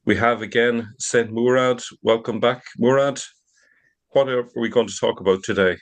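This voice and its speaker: A man's voice: medium pitch, fairly even intonation, normal speed. A man hosting an interview, welcoming his guest and asking casual questions. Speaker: interview host.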